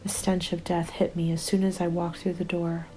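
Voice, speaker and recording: A woman playing a weary, hopeless apocalypse survivor, giving a sombre diary monologue in a soft, breathy half-whisper as ASMR roleplay. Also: lowish voice, little pitch movement, close to an everyday voice, low-quality recording. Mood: bleak resignation. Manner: resigned, sombre, deliberate.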